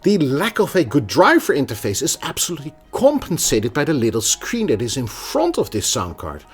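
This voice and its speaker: Man reviewing gear with lively intonation. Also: mid-range voice, fairly quick, breathy edge, soft background music. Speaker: tech reviewer, presenter. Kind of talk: scripted product review with casual asides.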